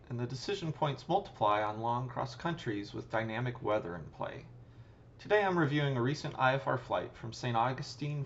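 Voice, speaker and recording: A man with a middling pitch reads a scripted aviation voice-over. His delivery is measured and matter-of-fact, like a pilot explaining technical decisions. The recording sounds a little dull and muffled. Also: slight background noise. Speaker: pilot and channel host. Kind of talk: scripted narration, flight review voice-over.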